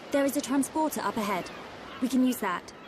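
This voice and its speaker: A young noblewoman from a fantasy game, played by an actress. Her voice sits fairly high, poised and reserved, with formal dutiful phrasing and faint ambient noise behind her. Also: brisk, natural voice. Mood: matter-of-fact. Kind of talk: video game cutscene acting.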